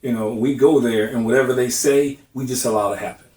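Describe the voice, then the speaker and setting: A man's voice: mid-range voice, fairly even intonation, a little fast, darkish tone. A middle-aged man in a candid group discussion, giving earnest, emphatic advice. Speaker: discussion participant. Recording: roomy, distant mic.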